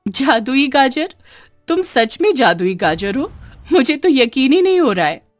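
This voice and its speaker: A woman voicing a poor, tender village mother in a cartoon moral story, plaintive and expressive. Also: high-pitched, a little slow, no room sound, soft background music, voice pushed up. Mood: astonished. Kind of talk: animated moral story acting.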